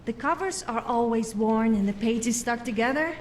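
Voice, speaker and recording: An opinionated, suspicious bookworm in a fantasy game tavern, played by a woman. The voice is a touch high, over faint background noise. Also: moderate pace, close to an everyday voice. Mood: dryly exasperated. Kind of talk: fantasy game character acting.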